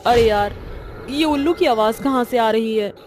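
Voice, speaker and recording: A woman voicing a frightened, anxious young woman in an animated horror story. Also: fairly high pitch, normal speed, background noise, close to an everyday voice. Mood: worried. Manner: anxious, exclaiming. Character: frightened young woman stranded on a night road trip.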